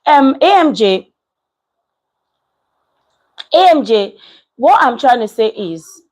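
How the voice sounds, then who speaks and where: A woman's voice: on the high side, slow pace. A woman, giving casual reaction commentary and reading out viewer comments in an emphatic way that repeats points. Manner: animated, emphatic, repeats herself. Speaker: commentary vlogger.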